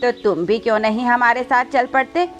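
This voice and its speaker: A woman's voice: on the high side, normal speed, dull audio, soft background music, natural voice. A woman voicing a chatty, helpful male parrot neighbour in a cartoon. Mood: friendly, animated talk.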